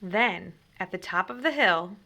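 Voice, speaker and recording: A woman reading a children's story aloud in an expressive, deliberate way, like a teacher. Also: mid-range voice, a little hiss or hum.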